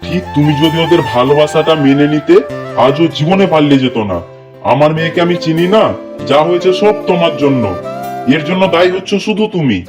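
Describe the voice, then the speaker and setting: A man's voice: fairly high pitch, fairly quick, close to an everyday voice. A man playing a loving, reproachful middle-aged village father in a cartoon drama, dramatic and heartfelt. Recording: music clearly behind the voice.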